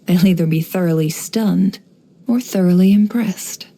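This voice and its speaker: A shrewd young woman from a video game, with a lowish, dark and velvety voice. She speaks in a composed, measured way, guarded and wry, as if she knows more than she says. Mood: sly, amused. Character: cool, shrewd, guarded young desert-born woman running an information business. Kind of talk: scripted game character voice lines.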